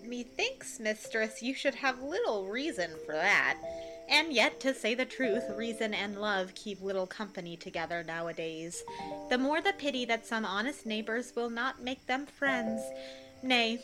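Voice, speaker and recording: Woman playing a high-voiced, boastful foolish weaver. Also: animated, unhurried, music in the background. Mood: bemused. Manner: theatrical, declaiming. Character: boastful, stubborn male weaver, a foolish amateur actor. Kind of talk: Shakespearean audio drama.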